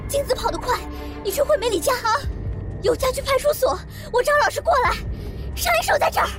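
An extremely high child voice over music, a bossy, precocious schoolgirl of about ten. She sounds knowing and exclamatory, in radio drama style. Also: animated, quick pace. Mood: panicked, urgent.

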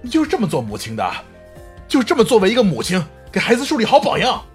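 A man plays a family man in an audio drama with a very lively, swinging delivery. He is a husband and stepfather who can turn from bitter to doting, with music behind him. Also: high-pitched, fast talker. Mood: bitter fury. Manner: bitter and cutting to wife, gentle with child. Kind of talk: Chinese audio drama acting.

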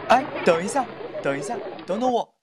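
A man playing a kind, patient young student in a radio drama, speaking in a gentle, hesitant, reassuring way. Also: high-pitched, animated, fairly quick, crowd noise, background noise. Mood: breathless, calling. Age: young adult.

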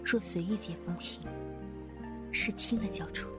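Young female Taoist played by a woman for wuxia radio drama: bashful, formal, hesitant, mid-pitched, long reverb. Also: unhurried, natural voice. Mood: sincere. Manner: flustered, hesitant, formal archaic speech. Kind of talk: Chinese wuxia audio drama acting. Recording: long reverb, music behind. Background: music.

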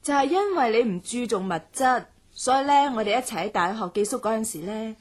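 A woman playing an outspoken, loyal young student in a radio drama, expressive and exclamatory. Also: a little high, a little fast. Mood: chatty, confiding.

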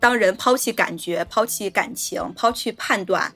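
A woman's voice: mid-range voice, normal speed, fairly bright tone, faint music behind. A woman co-hosting a casual film discussion, explaining her thoughts and rephrasing as she goes. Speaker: podcast co-host.

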